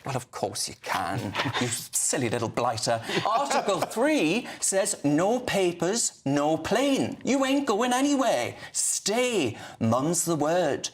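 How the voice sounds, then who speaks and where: A man's voice: sits high, big pitch swings, slow, hardly any pauses. A man presenting a sarcastic satirical segment on TV, theatrical and mocking, laughing at his own jokes. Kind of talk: sarcastic comic monologue with mock letters.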